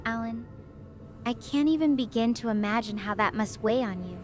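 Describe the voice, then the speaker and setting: A woman acts as a stranded young female researcher in a sci-fi audio drama. Her voice is fairly high, soft and hesitant, gentle and weighed down by guilt. Music plays clearly behind her. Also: moderate pace, close to an everyday voice. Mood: reflective, gentle. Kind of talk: conversational sci-fi roleplay.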